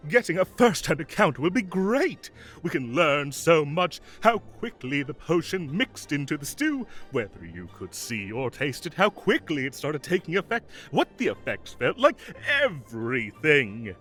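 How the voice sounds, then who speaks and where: Guy doing a mischievous fae king for audio rp, super animated, takes his time, gets flustered n halting, secretly soft. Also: on the high side, soft background music. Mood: eager, scheming. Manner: theatrical, halting when flustered. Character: mischievous, evasive male fae king of summer, secretly fond. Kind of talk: scripted audio roleplay acting.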